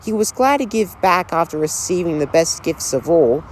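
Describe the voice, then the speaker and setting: A man narrating a railway storybook as a scripted read, in a slow, gentle storytelling manner. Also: on the high side, expressive, a little hiss or hum, low-quality recording.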